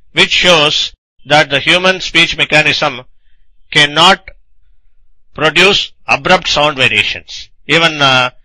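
A man with a very bright, sharp voice pitched a little high delivers a technical lecture. He explains in a didactic, instructional way, setting out questions and answering them. The sound is dry and close. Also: no room sound, rough recording. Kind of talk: scripted technical lecture narration.

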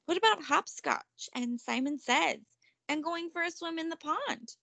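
A woman's voice: extremely high pitch, lively intonation, unhurried, gravelly, pushed and bright, no echo, voice pushed up, full character voice. A woman voicing a playful young girl in a storybook, matter-of-fact and full of questions. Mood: questioning.

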